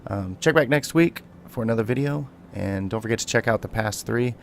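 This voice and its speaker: A man hosts a gameplay video in a fairly low voice with plenty of gravel. He chats casually and playfully, bantering along over faint game ambience. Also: brisk, slight background noise. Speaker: gaming video host.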